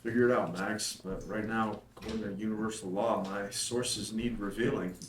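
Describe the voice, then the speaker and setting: A playful, wry young husband, Ethan, read by a man in a table read. His voice sits low, and the room adds a noticeable echo with a faint hiss underneath. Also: steady tone, moderate pace, lightly acted. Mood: teasing.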